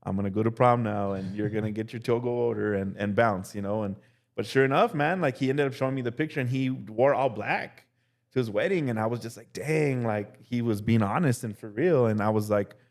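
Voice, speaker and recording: A young male teacher telling casual stories as a podcast guest, relaxed and full of "like" and "dude". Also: mid-range voice, brisk. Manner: relaxed, heavy use of "like" and "dude". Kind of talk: casual storytelling chat.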